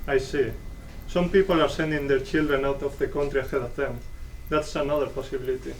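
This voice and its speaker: A man's voice: mid-range voice, steady tone, a little fast, natural voice. A man playing a patronizing, self-assured American embassy official in a dramatic reading of a stage play. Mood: bureaucratic. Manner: patronizing, formal. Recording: distant microphone, faint room noise.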